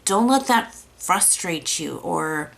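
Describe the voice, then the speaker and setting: Woman, raspy, gravelly, low-ish voice, chatty encouraging art teacher. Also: expressive, takes it slowly. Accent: American. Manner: encouraging, chatty, self-deprecating. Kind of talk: casual tutorial talk.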